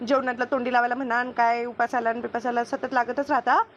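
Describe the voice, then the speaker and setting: Woman voicing high, chummy village housewife. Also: level tone, fast, fairly bright tone, lo-fi sound, a little hiss or hum, close to an everyday voice. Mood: grateful, chatty. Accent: rural Maharashtrian. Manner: chatty, homely, familiar. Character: slower-moving village housewife Renu, grateful, chummy best friend. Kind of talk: comedy cartoon dubbing.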